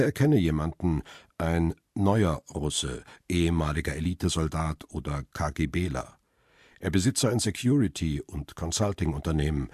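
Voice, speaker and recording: A brooding middle-aged photographer tells his own story in a very low, husky voice. He is dry and matter-of-fact, in the manner of a crime radio play, recorded close and dry. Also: darkish tone, dry recording. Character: brooding middle-aged male paparazzo out for revenge. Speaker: narrator and lead actor. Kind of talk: radio drama narration and dialogue.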